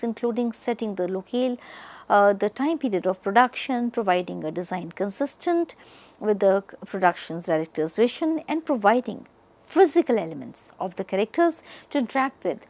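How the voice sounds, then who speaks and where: A woman's voice: fairly high pitch, a little mellow. A woman with an Indian accent giving a prepared educational lecture, partly reading it aloud.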